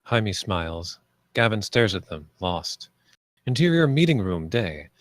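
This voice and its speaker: Low-voiced man narrating a script. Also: big pitch swings, a little slow, no echo, studio quality. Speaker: narrator reading stage directions.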